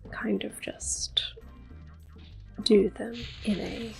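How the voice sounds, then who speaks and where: A woman hosts a gaming video in a soft, breathy whisper. She takes her time, rambling gently and casually in an ASMR style, with game music playing quietly behind her. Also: medium pitch, flat delivery, extremely slow. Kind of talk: ASMR gameplay commentary, casual. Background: game music and controller sounds.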